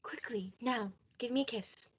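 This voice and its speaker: A woman's voice: on the high side, unhurried, lightly acted. A young woman playing a devoted, anxious young wife in a period radio play, with an earnest, dramatic delivery. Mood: loving, insistent. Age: young adult.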